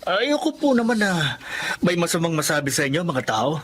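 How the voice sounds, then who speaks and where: An actor playing a principled adult son in a radio drama, respectful and pleading yet firm. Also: male voice, a little high, very animated, normal speed, fairly bright tone, a little hiss or hum. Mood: earnest pleading. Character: earnest, principled adult son, husband and father.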